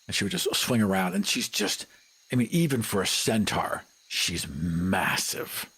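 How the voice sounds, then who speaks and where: A man's voice: sits low, lively intonation, a little slow, fairly bright tone, breathy edge. A man playing a tabletop roleplaying game, casually narrating his character's actions in a descriptive, cinematic way.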